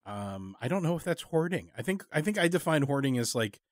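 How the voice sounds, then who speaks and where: Wry man with lively, swooping intonation. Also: mid-range voice, fairly quick, dry recording, studio quality. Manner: wry, joking, musing. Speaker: interviewer, podcast host. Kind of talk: casual conversational interview.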